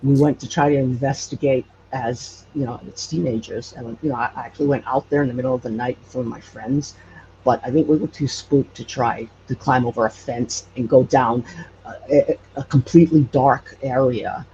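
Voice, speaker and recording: A man with a mid-pitched, very creaky voice tells stories as a casual interview guest. He speaks haltingly and often searches for the right word. The recording is dull and lo-fi, with faint noise behind him. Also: fairly even intonation, darkish tone. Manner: halting, hesitant, searching for words. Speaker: podcast guest telling local legends.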